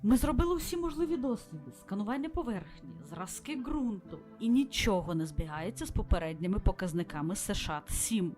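A woman playing a composed space station commander in a visual novel game, logging mission reports in a formal, measured way. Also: mid-range voice, lively intonation, warm tone, natural voice. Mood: grave, formal. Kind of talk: scripted visual novel voice acting, log narration. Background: music.

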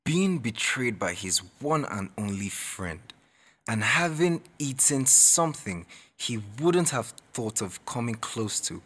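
A man's voice: medium pitch, animated, slow pace, a bit bright. A man giving dramatic scripted narration as the storyteller of an audio drama. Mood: measured, dramatic. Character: unhurried dramatic male storyteller.

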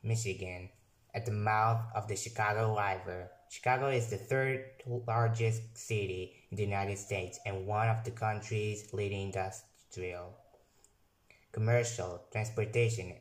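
A young man who is not a native English speaker, casually reviewing software on his computer and reading text aloud haltingly, restarting and correcting words. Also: fairly low pitch, steady tone, roomy sound. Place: room at a computer.

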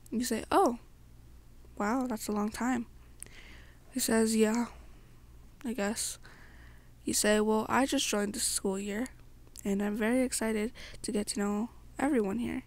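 Young woman storyteller with a creaky, high voice. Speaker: ASMR roleplay storyteller. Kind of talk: second-person ASMR fan-fiction storytelling.

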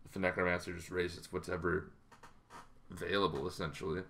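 A man in his thirties talking casually to camera in an explanatory way, halting and improvised, in a small bedroom. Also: low voice, level tone, creaky, a bit bright, slight background noise. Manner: halting, loosely improvised delivery. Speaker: tabletop roleplaying game vlogger.

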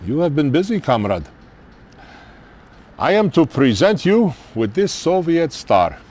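An older male actor playing a weary, sardonic Soviet general in a game cutscene, with a Russian accent and theatrical, deliberate phrasing. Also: mid-range voice, a little mellow. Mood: wry, measured. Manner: theatrical, deliberate, pausing between short phrases. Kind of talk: dramatic game cutscene acting.

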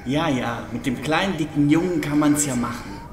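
A man's voice: on the high side, moderate pace, noisy background, natural voice. A man playing a nervous, whiny, self-deprecating podcaster turned alien fighter in a sci-fi comedy audio drama. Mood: grumbling, uneasy. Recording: echoey, distant sound with music behind.

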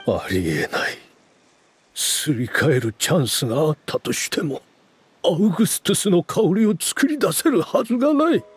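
A man's voice: a little high, very animated, moderate pace, husky, no echo, exaggerated voice. An actor playing a bitter, vengeful male game villain who speaks in dramatic, faltering disbelief. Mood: stunned, bitter. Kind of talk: Japanese anime game voice acting.

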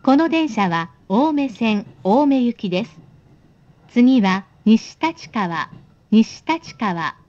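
A woman reading a scripted train announcement in a polite, formal, clearly enunciated manner. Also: fairly high pitch, expressive, takes it slowly, darkish tone, highs cut off.

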